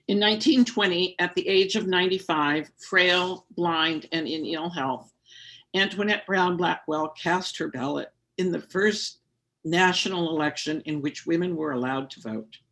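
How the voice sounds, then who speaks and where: A lay speaker reading a historical tribute aloud during a worship service, in a measured way with brief personal asides. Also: medium pitch, fairly bright tone.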